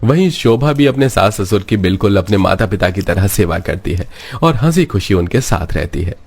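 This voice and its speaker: A man's voice: sits low, lively intonation, normal speed, gravelly, darkish tone. A man narrating a scripted cartoon moral story as its storyteller.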